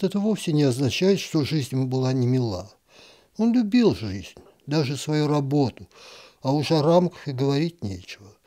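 Male narrator, wry and ironic, warm mellow voice, pitch moves a lot as he reads the story. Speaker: narrator reading a short story. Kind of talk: expressive literary reading.

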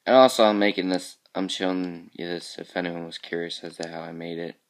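Groggy, low-voiced man just woken up. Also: fairly even intonation, a little fast. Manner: mumbly, trailing off, quiet asides. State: groggy and raspy, just woke up. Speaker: level creator showing his game work. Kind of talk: casual screen-capture walkthrough.

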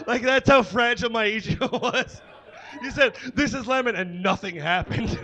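High-pitched, animated male comedy host. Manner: halting, self-deprecating, joking. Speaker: show host. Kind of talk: casual, comedic stage introduction. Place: small indoor comedy stage. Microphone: stage microphone on stand. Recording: muffled live stage microphone.

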